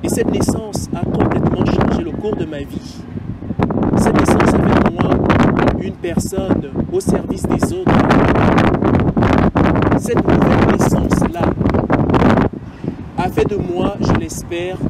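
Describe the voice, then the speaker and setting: A priest, a middle-aged man, speaks slowly and earnestly in a fairly high voice. He sits back from the mic outdoors, and loud traffic noise runs under his reflective words. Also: huge pitch swings, distant mic. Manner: slow, earnest and meditative, building in intensity. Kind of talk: reflective personal testimony. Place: outdoors by a cathedral forecourt.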